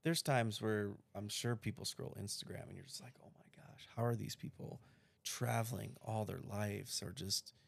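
A man in his thirties co-hosting a casual chat, relaxed and rambling with restarts. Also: on the low side, normal speed, creaky. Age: 30s. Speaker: podcast co-host.

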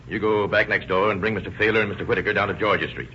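An American actor playing a terse, authoritative police lieutenant in an old-time radio crime drama. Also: male voice, on the low side, fairly even intonation, very fast, close to an everyday voice. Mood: businesslike. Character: brisk, no-nonsense male police lieutenant leading a murder investigation. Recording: muffled lo-fi old radio recording, faint noise.

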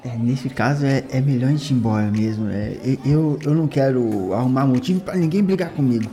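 A man's voice: medium pitch, fairly quick, warm tone. A man playing a schoolboy in a tabletop game, whiny and stammering. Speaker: player. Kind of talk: in-character roleplay as a schoolboy. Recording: music under the voice.

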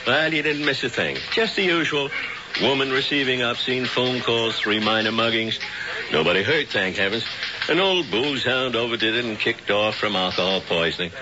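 An actor playing a folksy, wisecracking middle-aged police lieutenant in an old radio drama. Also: male voice, medium pitch, normal speed, highs cut off, noisy background, lightly acted. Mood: jovial.

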